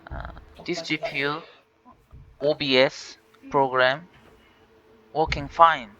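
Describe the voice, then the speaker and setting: A Korean-native man speaking English in a halting way, with many fillers and restarts, walking viewers through a tech issue. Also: fairly high pitch, fairly even intonation, bright, forceful tone. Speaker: tech channel host. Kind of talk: casual screen-recorded walkthrough. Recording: dull lo-fi voice with faint hiss.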